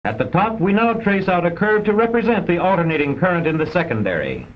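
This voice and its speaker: A man with an American accent delivers formal scripted narration for a vintage instructional film, measured and didactic with precise enunciation. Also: high-pitched, big pitch swings. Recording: dull, band-limited old film soundtrack.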